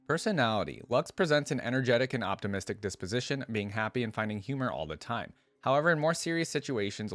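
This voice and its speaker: Low-voiced man reading aloud, dry close sound. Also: no echo. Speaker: reaction video host. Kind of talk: reading aloud with casual commentary.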